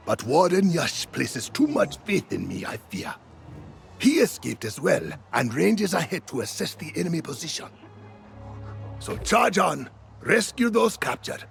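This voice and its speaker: Weary fantasy game warden, heavy vocal fry, animated intonation, earnest, remorseful. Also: male voice, fairly high pitch, heavily acted. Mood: urging on. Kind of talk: video game character dialogue.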